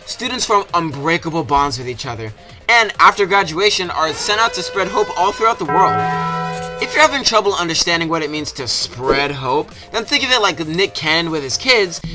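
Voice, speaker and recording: A man narrating a comedic scripted video game review with a sarcastic, exaggerated delivery. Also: high voice. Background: music behind the voice.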